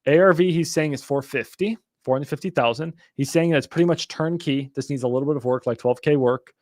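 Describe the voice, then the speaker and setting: A young man hosts a casual live stream, his voice on the high side and jumping into loud, swearing exasperation before he settles back to explaining deals. The sound is very dry and close, with no room around it. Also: brisk, dry recording. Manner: excitable, swears when exasperated, talks to chat. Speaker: real estate wholesaling livestream host. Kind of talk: casual livestream teaching.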